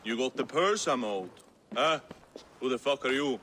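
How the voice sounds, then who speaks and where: A man's voice: medium pitch, expressive, normal speed, pushed and bright, a little hiss or hum. A man playing a blunt, menacing, scornful medieval craftsman in a video game cutscene. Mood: suspicious, scornful. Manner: blunt, coarse. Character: gruff, foul-mouthed male medieval craftsman, menacing and scornful. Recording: long hollow reverb.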